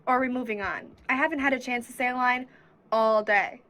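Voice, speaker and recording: Woman as a bright, impatient high-voiced actress. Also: fairly quick, natural voice. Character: impatient, grumbling supporting actress. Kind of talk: 1940s radio comedy play acting.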